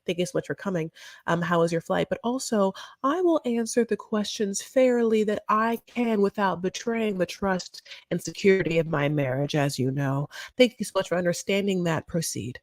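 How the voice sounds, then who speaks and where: A woman's voice: mid-range voice, dry recording, close to an everyday voice. A woman doing an impression of a stiff, overly gracious husband who speaks carefully and formally. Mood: mock gracious. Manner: prim, measured, faux-gracious.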